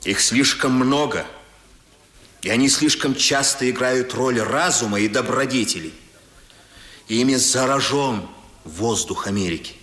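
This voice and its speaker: A man acting a sober, candid, worldly gentleman in a 1970s radio play, with measured and deliberate phrasing. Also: medium pitch, roomy sound, close to an everyday voice. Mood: grave, critical.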